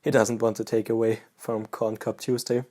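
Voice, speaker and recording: A man from Austria speaks English with an Austrian German accent in a relaxed, halting chat to his viewers. His voice is mellow and dark, and his pitch stays flat, with little rise or fall. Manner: halting, relaxed, pauses mid-sentence. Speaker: pipe-smoking vlogger. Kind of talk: casual chat to camera.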